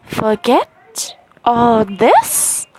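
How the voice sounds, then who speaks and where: A woman voicing a mysterious hooded young man in a game, speaking softly and slowly. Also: fairly high pitch, huge pitch swings, a little hiss or hum, heavily acted, rough recording. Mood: hushed.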